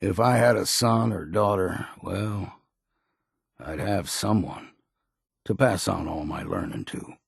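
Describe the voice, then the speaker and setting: An actor playing a gruff, weary old hunter in a video game, blunt and proud, with a gravelly, husky voice and a folksy, rustic drawl. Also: male voice, low voice, slow pace, no echo, studio quality, exaggerated voice. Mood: heartfelt, regretful. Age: older. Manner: folksy, plain-spoken drawl with rustic grammar. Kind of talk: video game character dialogue.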